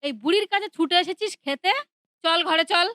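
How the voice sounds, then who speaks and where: A woman playing a shrill, harsh cartoon mother. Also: a little fast, sharp tone, dry recording, clean studio sound. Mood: angry. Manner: shrill, scolding. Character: harsh scolding mother, daughter-in-law.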